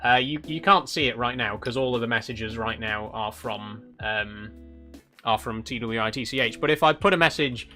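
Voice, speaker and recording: Bright-voiced British man livestreaming. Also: mid-range voice, normal speed. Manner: chatty, polite, appreciative. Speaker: live streamer. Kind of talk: casual livestream chat with viewers. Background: background guitar music.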